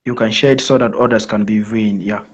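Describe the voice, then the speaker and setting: A man's voice: on the low side, fairly even intonation, fast, husky. A man from Nigeria with a Nigerian accent speaks formally as a guest presenter on a live online talk, explaining things with emphatic repetition. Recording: dull lo-fi online call audio.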